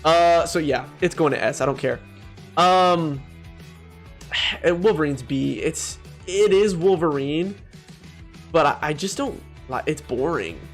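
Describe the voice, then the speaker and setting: A fairly high-voiced man hosts a casual gaming ranking video, with faint music behind him. He talks bluntly and loosely in bro-heavy slang, giving his opinions straight to the viewers. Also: slow, long pauses.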